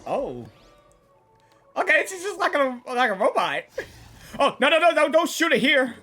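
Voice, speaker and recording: A woman hosting a casual game reaction, expressive and giggly, often repeating her phrases. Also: high-pitched, moderate pace, soft background music. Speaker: gaming reaction host.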